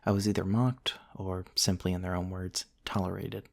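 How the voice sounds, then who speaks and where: A man playing a gentle, eccentric vampire prince from the nineteenth century, softly telling his story in an intimate, genteel ASMR roleplay monologue. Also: low voice, little pitch movement, gravelly. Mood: wistful, reflective. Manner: soft-spoken, wistful, genteel storytelling with pauses.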